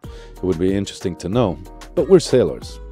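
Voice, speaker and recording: A man narrating his sailing vlog in a calm, reflective voice-over. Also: sits low, brisk, soft background music.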